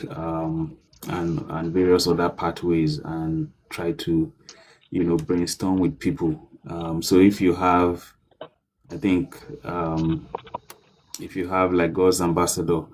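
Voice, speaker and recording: A man's voice: low voice, darkish tone, slight background noise. A man hosting a livestream panel, talking casually and loosely with many fillers. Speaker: livestream host.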